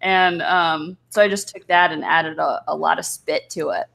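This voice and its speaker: A woman's voice: low-pitched, steady tone, normal speed, vocal fry, fairly bright tone. A woman guest chatting casually and a little hesitantly, thinking aloud as she explains. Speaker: voice actor, guest. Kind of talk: casual chat.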